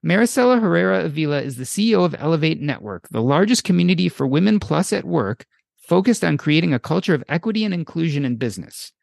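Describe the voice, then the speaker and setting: A middle-aged man hosting a podcast, reading a prepared intro in measured, emphatic phrases before asking his questions. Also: fairly high pitch, big pitch swings, gravelly, a little mellow, no room sound, clean studio sound. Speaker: podcast host. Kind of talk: scripted intro then interview questions.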